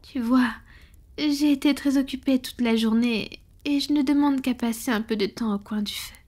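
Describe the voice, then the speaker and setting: A woman playing a tender, proud centuries-old vampire in an intimate ASMR roleplay, murmuring slowly in a soft, breathy whisper. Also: high-pitched. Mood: tender, affectionate. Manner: slow, intimate, affectionate murmuring. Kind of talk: French-language ASMR romantic roleplay.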